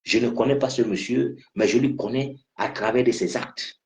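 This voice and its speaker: A man's voice: medium pitch, a little mellow. A man from Cameroon, giving an impassioned political address and hammering the same phrases again and again. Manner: fervent, repetitive, hammering phrases. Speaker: political activist. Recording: muffled lo-fi recording.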